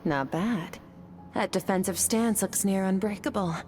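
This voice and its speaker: A woman voicing a calm, cool female swordswoman mentor who speaks in an even, composed, instructive way. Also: medium pitch, a little slow, slight background noise, lightly acted. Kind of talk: game voice acting.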